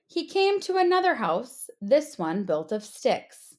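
A woman narrating a children's storybook aloud in an expressive read-aloud style. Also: a little high, takes it slowly. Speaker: storybook reader, teacher.

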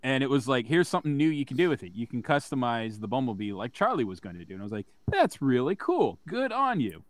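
Chatty podcast dude, rambles a lot, swears, voice all over the place, dry close sound. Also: male voice, medium pitch, fast, no echo. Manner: rambling, animated storyteller, profane. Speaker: podcast co-host. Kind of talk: casual podcast chat.

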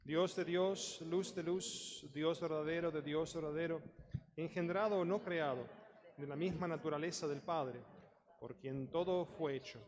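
A man's voice: on the high side, unhurried. A man, a priest, leading a liturgical recitation in a large church. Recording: reverberant church hall.

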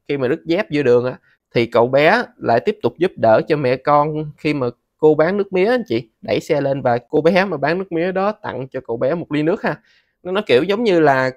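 Tutorial host: man, thirties, Southern Vietnamese accent, slightly high voice, dry close sound, conversational step-by-step delivery. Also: no echo. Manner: conversational, frequent sentence-ending particles addressing viewers. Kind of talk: casual step-by-step screen tutorial.

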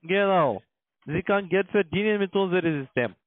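A man's voice: sits high, animated, normal speed, dry recording. A man with a foreign accent in German making a blunt, pushy telephone sales call. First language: not German. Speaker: call center sales agent. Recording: thin, dry phone line.

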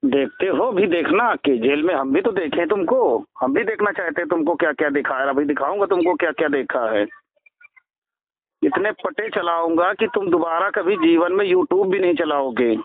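A man's voice: fairly high pitch, animated, normal speed, dry recording. A man on a phone call threatening and hectoring the listener, posing as a police officer. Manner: intimidating, bullying, hectoring. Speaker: phone scammer posing as a crime branch officer. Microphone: telephone line. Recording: tinny recorded phone call, band-limited.

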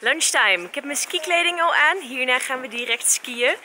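A woman's voice: high voice, lively intonation, moderate pace, music in the background. A woman, chatting casually to a handheld camera in an upbeat, enthusiastic way. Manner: upbeat and enthusiastic, gushing superlatives. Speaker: travel and lifestyle vlogger. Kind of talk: casual vlog chat to camera. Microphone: camera held at arm's length.

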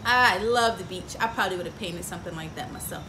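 A woman's voice: fairly low pitch, fast talker. A middle-aged woman hosting a children's story time, reading a picture book aloud expressively and asking the young listeners questions. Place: outdoors on a porch by trees. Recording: clear outdoor ambient noise.

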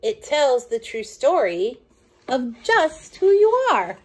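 A middle-aged woman reading a children's picture book aloud in an expressive, deliberate, sing-song way. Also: sits high, slightly rough. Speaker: children's storytime reader.

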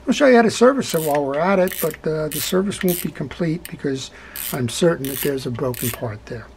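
A man's voice: fairly high pitch, expressive, fairly quick, creaky, a little mellow, slight background noise. A middle-aged man hosting a hands-on fishing reel repair tutorial at his workbench, talking it through step by step in a folksy way. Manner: talkative, folksy, explains step by step with "right?" checks. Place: indoor workbench.

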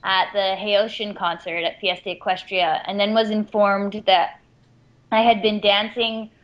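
A woman's voice: mid-range voice, little pitch movement, measured pace. A woman from Canada, a voice actress guest, chatting casually in an interview with a rambling, self-deprecating manner over an online call. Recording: muffled lo-fi call audio.

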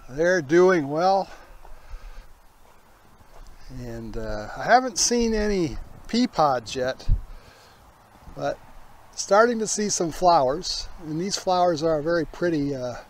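A man, hosting a casual garden tour in a folksy, unhurried way outdoors. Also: a little high, lively intonation. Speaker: gardening vlog host.

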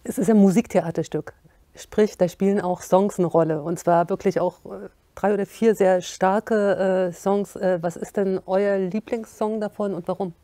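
A woman's voice: mid-range voice, steady tone, normal speed, dark tone, dry recording. A woman in her thirties interviews young actors, asking questions in an upbeat, optimistic way inside a cinema venue. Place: cinema venue with curtain behind.

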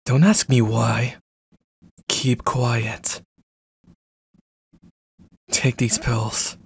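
Man voicing jealous controlling stalker, ASMR roleplay, slow menacing delivery, slightly rough voice, cold and clipped. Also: medium pitch, flat delivery, no echo, exaggerated voice. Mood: controlled threat.

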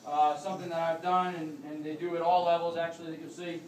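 A man's voice: a little high, little pitch movement, fast talker, a little mellow, a little hiss or hum. A young American man coaching rugby technique, explaining as he demonstrates moves in a big conference room, recorded on a phone camera from across the room. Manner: explanatory, demonstrating while moving. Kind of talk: demonstration clinic. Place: large carpeted conference room with a crowd standing around. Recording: roomy echo, distant from the mic.

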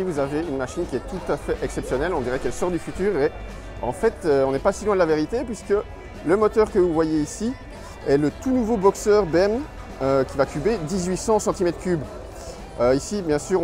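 Guy doing chatty event commentary, talks right to viewer, voice a bit high, music behind. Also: a little mellow. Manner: chatty, addresses the viewer directly. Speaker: motorcycle magazine video presenter. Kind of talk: casual event report commentary.

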